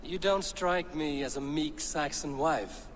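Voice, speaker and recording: An actor playing a stern, wary Viking warrior in a video game, speaking in a measured, blunt way. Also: male voice, on the high side, close to an everyday voice. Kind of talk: video game dramatic acting. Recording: clear background noise and ambience.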